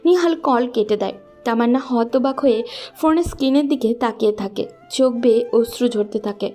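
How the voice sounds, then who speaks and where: A woman's voice: on the high side, brisk, dark tone, faint music behind. A woman narrator reading a scripted love story.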